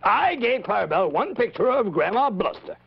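A man's voice: sits high, very animated, a little fast, gravelly, husky, lo-fi sound, exaggerated voice. A man voicing a cantankerous, stubborn old marionette who stammers and sputters indignantly in a children's television puppet show. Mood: possessive, argumentative.

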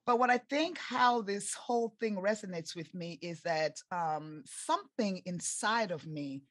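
A woman's voice: mid-range voice, animated, unhurried, a bit bright, dry recording, clean studio sound. A woman in her forties with an East African accent, earnestly telling her life story as a podcast guest. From Tanzania and Zambia. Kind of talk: interview storytelling.

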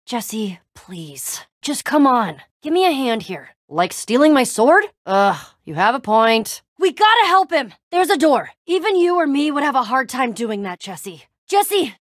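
Video game role: tough, moody young woman warrior. High, very animated, slangy and sarcastic. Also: no echo, studio quality, full character voice. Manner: casual slangy delivery with sighs and sarcastic asides. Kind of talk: video game voice acting, casual dialogue.

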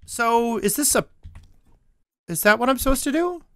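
High, lively young-sounding male gamer. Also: normal speed. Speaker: co-player in a video game. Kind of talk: casual chat during gameplay.

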